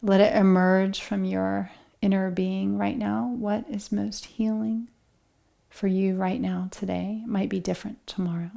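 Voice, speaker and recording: A woman guides a relaxation meditation in a gentle, calming manner. Her delivery is very slow, with long pauses between phrases. Her mid-pitched voice often settles into a noticeable creak. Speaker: yoga and meditation instructor.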